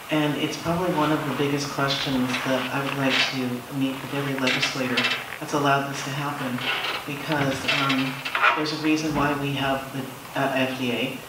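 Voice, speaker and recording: Middle-aged man, earnest panel remarks, halting, far from mic, noisy echoey recording. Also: medium pitch. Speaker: panelist, healthcare worker. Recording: very echoey, distant, noisy room.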